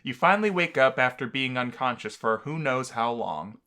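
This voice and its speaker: A man's voice: medium pitch, lively intonation, normal speed, pushed and bright. A man hosting a let's-play, bantering teasingly and reading a visual novel game aloud.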